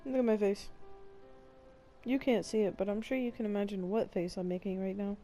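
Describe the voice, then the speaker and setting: A woman's voice: medium pitch, steady tone, fairly quick, dark tone. A woman streamer giving sassy, sarcastic live reaction commentary over a video game. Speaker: gameplay streamer. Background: game music.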